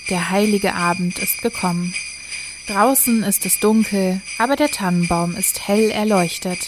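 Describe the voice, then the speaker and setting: A woman's voice: medium pitch, moderate pace, heavy background noise, close to an everyday voice. A woman performing as the narrator of a children's radio play, telling the story from a script. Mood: narrating.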